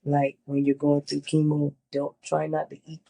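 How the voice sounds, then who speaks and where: A congested middle-aged woman with a gravelly, mellow voice. She talks candidly in a casual interview and repeats words for emphasis. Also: deep voice, monotone, moderate pace, poor audio. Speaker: interviewee sharing her chemo experience.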